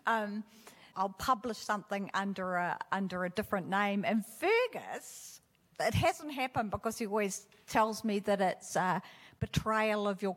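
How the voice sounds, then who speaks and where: A woman's voice: mid-range voice, big-room sound. Chatty New Zealand woman author, laughing. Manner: warm, rambling, laughs often, loses her train of thought. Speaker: author guest. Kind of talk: casual interview conversation.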